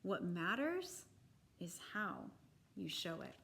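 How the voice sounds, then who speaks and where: A woman reading a children's picture book aloud in a deliberate, expressive, instructive way for young listeners. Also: on the low side, gravelly.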